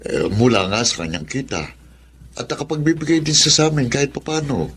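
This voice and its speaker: A man's voice: mid-range voice, very animated, brisk, a bit bright, a little hiss or hum. An actor playing a meek, apologetic older father and grandfather in a Filipino radio drama. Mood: meek, puzzled.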